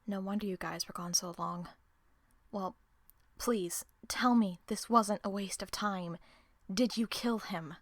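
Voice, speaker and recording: A woman acting in an audio drama as a sharp-tongued, distrustful team member who swears and confronts people. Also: mid-range voice, measured pace, no echo, natural voice. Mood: impatient, worried. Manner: profane, confrontational, sarcastic. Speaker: voice actor in an audio roleplay.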